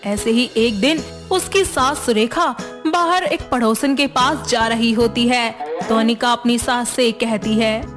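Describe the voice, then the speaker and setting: A woman delivers scripted narration for a children's moral story. Her voice is fairly high. Faint music plays beneath the narration. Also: unhurried.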